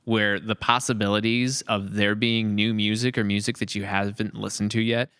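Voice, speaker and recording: Man, deep bright voice, reflective podcast host, halting phrasing, casual interview style. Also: level tone, smooth, no echo.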